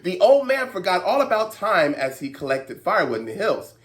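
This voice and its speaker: A woman telling a story to young children, expressive, with playful asides and exclamations. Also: fairly low pitch, brisk.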